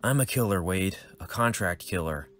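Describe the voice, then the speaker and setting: A man's voice: deep voice, expressive, normal speed, a bit bright. A man playing a weary hitman dad. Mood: somber. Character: weary middle-aged hitman father, protective.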